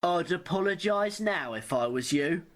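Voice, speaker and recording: A woman's voice: fairly low pitch, lively intonation, normal speed, close to an everyday voice. A woman playing a sly, self-justifying opportunist with a smug, sardonic manner in an amateur radio play.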